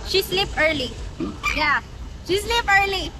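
A young woman with a Filipino accent and a high voice, answering a street interview in halting, giggly English. Traffic noise is clearly heard around her outdoors. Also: expressive. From Philippines. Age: young adult. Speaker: nursing student interviewee. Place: outdoors on plaza steps.

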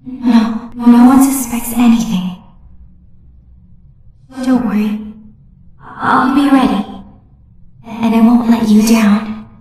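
A woman voicing a scheming female rabbit animatronic, calm and quietly menacing. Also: fairly high pitch, slow, long pauses, a little hiss or hum. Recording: echoey, distant, music behind.

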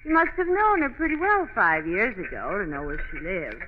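An actress playing a jealous, teasing sweetheart in 1940s radio drama style. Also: female voice, medium pitch, big pitch swings, normal speed, natural voice. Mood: jealous, arch. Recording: tinny, noisy 1940s radio recording.

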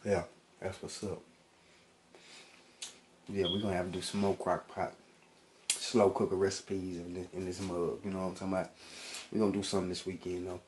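Laid-back deep-voiced man filming in his kitchen. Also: little pitch movement, fairly quick, pauses often, noisy background. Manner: laid-back, slangy, self-filming talk. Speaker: cooking channel host. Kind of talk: casual home cooking vlog. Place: small home kitchen, indoors. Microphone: phone camera held at arm's length.

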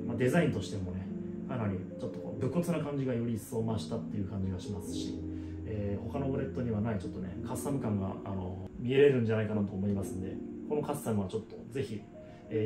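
A man in his thirties giving a casual, conversational product walkthrough as a craftsman, explaining details as he goes. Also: fairly low pitch. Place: small indoor room with product shelf. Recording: roomy echo, guitar music behind. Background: guitar music.

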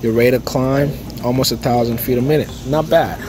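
A man talks his viewers through an aircraft in an easygoing, chatty way, dropping in plenty of "okay" and "uh". He is filming on a handheld phone inside a large hangar at an expo. Music plays clearly underneath the voice. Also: mid-range voice, fairly quick. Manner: relaxed, chatty, lots of "okay" and "uh". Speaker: aviation vlogger. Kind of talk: casual walkaround tour. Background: music and crowd chatter.

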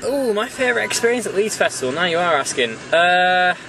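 A man's voice: high voice, expressive, fairly quick, gravelly, music in the background. A young man in casual, crude, rambling banter, filmed inside a car at night on a handheld camera. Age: young adult. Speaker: vlog co-host. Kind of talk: casual chat. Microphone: handheld camera mic. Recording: distant mic, road noise.

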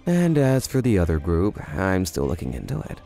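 A man voicing a cool, shrewd underworld informant in a manga dub, speaking in a measured, businesslike way. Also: sits low, huge pitch swings, creaky, warm tone, faint music behind, exaggerated voice. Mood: grave, informative. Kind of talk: animated manga dub dialogue.

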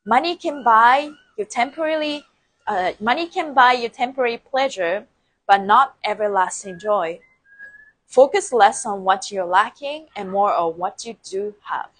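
Japanese-accented woman, lively livestream chat. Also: fairly high pitch, a little slow, poor audio. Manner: chatty, laughs often, repeats phrases, gestures with her hands. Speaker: dance and movement coach. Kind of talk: casual livestream talk to viewers. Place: indoor room with plants behind. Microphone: lapel mic clipped to shirt.

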